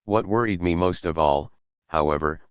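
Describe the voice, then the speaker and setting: A man narrating an audiobook in a measured, even storytelling manner. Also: deep voice, smooth, dry recording, muffled recording.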